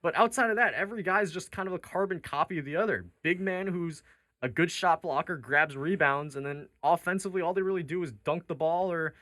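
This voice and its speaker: A man's voice: fairly high pitch, brisk, bright, forceful tone, dry recording. A man hosting sports talk, reasoning conversationally through counterpoints in a basketball debate. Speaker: sports radio talk show host.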